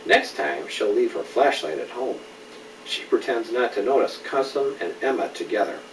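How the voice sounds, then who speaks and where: Middle-aged man, animated storybook reader chatting to a child; roomy, slightly noisy home recording. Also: mid-range voice, normal speed, far from the mic. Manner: animated, checks in with questions. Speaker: father reading a storybook aloud to a child. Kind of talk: bedtime story reading with asides to a child.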